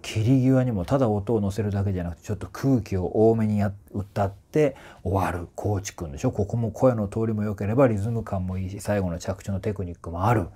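A man in his forties giving casual, explanatory commentary to camera as a voice trainer, in a slightly husky voice. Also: lowish voice, a little fast, hardly any pauses. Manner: animated, often sings short phrases to demonstrate. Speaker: voice trainer reviewing singers. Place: small indoor room with curtains.

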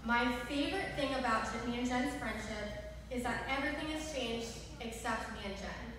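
A woman's voice: fairly high pitch, normal speed. A young woman reading a scripted rhyming bridesmaid speech at a wedding reception. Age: young adult. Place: large wood-walled indoor reception venue. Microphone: mic on a stand, picked up by far camera. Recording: echoey hall, distant camera, noisy room.

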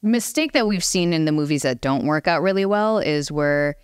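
Smooth, lowish-voiced woman in casual chat. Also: lively intonation, normal speed, dry recording, clean studio sound. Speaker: podcast co-host.

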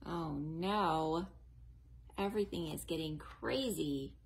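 A woman in her forties reading a picture book aloud to young children in a slow, exaggerated, sing-song way, recorded on a close webcam at home. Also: low voice, vocal fry. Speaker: children's librarian. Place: living room at home, then a library.

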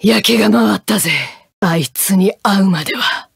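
A woman's voice: mid-range voice, normal speed, vocal fry, breathy edge, no echo, studio quality, full character voice. A woman voicing a brash, battle-hungry swordswoman in a fighting game, with coarse, mannish, taunting speech. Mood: cocky, menacing. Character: brash, rough-talking one-eyed swordswoman, battle-hungry and mocking. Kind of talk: Japanese fighting game voice acting.

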